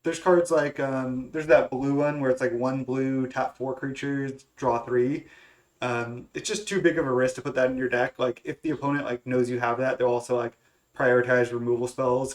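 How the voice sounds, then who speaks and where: A man's voice: medium pitch, flat delivery, normal speed. Congested young man thinking aloud. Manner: thinking aloud, hesitant with many fillers and restarts. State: congested, stuffy nose. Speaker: gaming content creator. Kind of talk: casual play-by-play of a card game.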